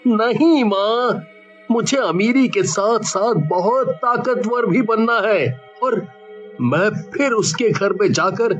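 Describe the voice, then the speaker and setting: Man voicing an anxious young son, very high-pitched. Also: muffled recording. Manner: earnest, insistent. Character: anxious, greedy young son in a moral tale. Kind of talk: dramatic storybook acting.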